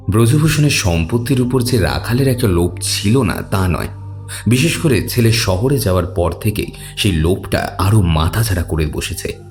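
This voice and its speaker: A man narrating a scripted horror audio story as its storyteller, in a dramatic, expressive manner. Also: deep voice.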